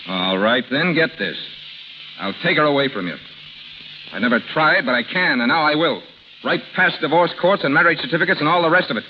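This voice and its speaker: A man's voice: fairly high pitch, animated, brisk, pushed and bright, a little hiss or hum, low-quality recording. A man acting in a 1930s radio drama as a determined, earnest lover, speaking in an insistent, forthright way. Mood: defiant. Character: determined, earnest male lover confronting his rival. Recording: old 1930s radio recording, music behind.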